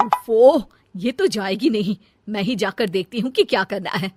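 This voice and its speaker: A woman voicing a dutiful, sensible elder daughter-in-law in a cartoon family drama, earnest, expressive and chiding. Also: medium pitch, normal speed, loud music behind the voice, lightly acted. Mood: exasperated.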